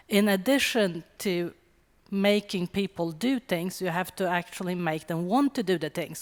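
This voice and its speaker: A middle-aged woman from Sweden with a Swedish accent, giving a wry, conversational conference talk with quick asides. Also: lowish voice. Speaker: conference speaker. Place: conference hall. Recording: long hall reverb.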